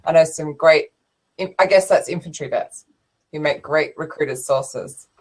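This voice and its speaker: A woman hosting an online panel, chatty and quick to chime in. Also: low-pitched, breathy edge. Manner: chatty, quick back-channel replies. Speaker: show host. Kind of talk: casual panel chat.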